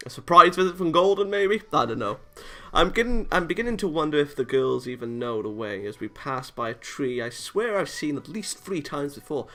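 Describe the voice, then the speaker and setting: A man's voice: medium pitch, sharp tone. A man reading a visual novel aloud for a let's play, stumbling now and then and tossing in casual, sweary asides. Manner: stumbles over read lines, swears casually. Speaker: let's player.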